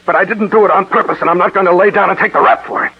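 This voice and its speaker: An actor playing a desperate, guilt-ridden police detective in a 1940s radio drama, agitated and menacing. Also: male voice, high-pitched, fairly even intonation, very fast, full character voice. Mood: threatening, desperate. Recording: muffled, rough 1940s radio recording.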